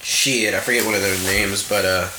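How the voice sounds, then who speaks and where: A man's voice: fairly low pitch, moderate pace. A young man with a cold and a stuffy nose, sounding nasal, chatting casually about sneakers and saying "like" a lot. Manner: relaxed, lots of "like". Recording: echoey room with background noise.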